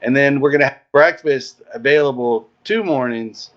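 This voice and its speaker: A man with a medium-pitched voice talks casually as a guest, laying out plans like an organizer. The audio is dull and lo-fi. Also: normal speed. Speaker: interview guest, event organizer. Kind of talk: casual chat explaining event plans.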